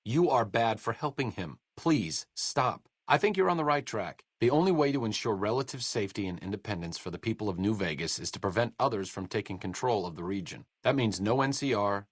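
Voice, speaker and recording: An actor playing a sardonic young scholar and medic in a video game, with dry, sarcastic wit. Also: male voice, on the low side, lively intonation, brisk, few pauses, breathy edge, dry recording. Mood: wry. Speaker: game companion character. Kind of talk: studio video game voice acting.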